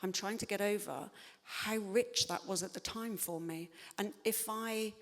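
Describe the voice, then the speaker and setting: A woman's voice: mid-range voice, measured pace, big-room sound. A woman writer answering audience questions in a thoughtful, hesitant way, with many fillers and restarts. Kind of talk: spontaneous public Q&A talk.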